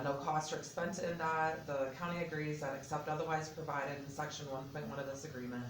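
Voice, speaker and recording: A man from the state transportation office walking county officials through a transfer agreement section by section, in a loud, methodical voice. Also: medium pitch. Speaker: state transportation department representative. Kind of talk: explaining an agreement at a public meeting. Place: county meeting room. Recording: echoey hall, distant mic, background noise.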